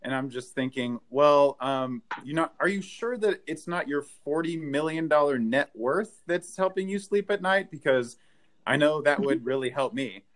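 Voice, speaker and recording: A man in his thirties doing a stand-up set with deadpan one-liners, filmed on a webcam at home. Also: on the high side, moderate pace. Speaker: stand-up comedian. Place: living room at home. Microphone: webcam, video call framing.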